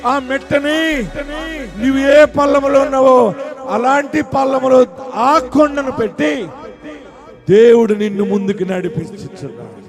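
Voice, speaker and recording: A male pastor giving an impassioned sermon with prayer, proclaiming in repeated phrases and swinging between hushed and loud. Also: very high voice, expressive, moderate pace, long pauses, big-room sound, soft background music.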